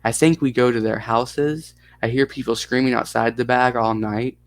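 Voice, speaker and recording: A man voicing a frightened seven-year-old Russian girl who speaks halting broken English in a Russian accent. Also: mid-range voice, fairly even intonation, lightly acted. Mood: frightened, haunted. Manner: halting, simple broken sentences.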